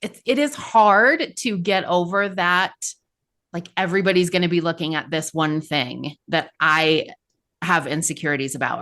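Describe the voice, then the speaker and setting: A woman hosting a podcast interview, chatty and animated as she tells stories. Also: fairly low pitch. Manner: chatty, animated, lively storytelling. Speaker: podcast host. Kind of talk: interview conversation.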